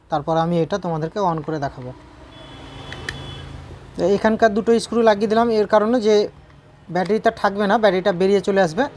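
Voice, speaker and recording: Very fast-talking woman, noisy recording. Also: lowish voice, a little mellow. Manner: repetitive, checking in with viewers with tag questions. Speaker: repair tutorial presenter. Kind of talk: informal hands-on repair tutorial narration.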